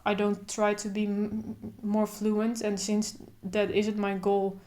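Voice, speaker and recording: A young woman talks to camera in a slow, level voice, with little rise and fall in her pitch. She stutters openly, repeating first sounds and pausing in blocks, and keeps going in short, thoughtful phrases. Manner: thoughtful, calm, speaks in short phrases with pauses. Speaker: vlogger. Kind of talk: casual talk to camera.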